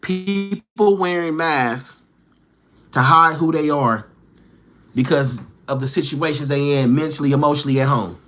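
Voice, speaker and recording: A man's voice: fairly high pitch, measured pace, a bit bright, faint music behind. A man livestreaming, bantering with and teasing his chat in slangy street talk, firing challenging questions.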